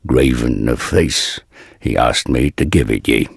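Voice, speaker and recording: An actor playing a burly, humble old blacksmith in a dark fantasy video game, with a gravelly, husky voice and slow, archaic, folksy phrasing. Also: male voice, extremely low pitch, no echo, clean studio sound, full character voice. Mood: warm, sombre.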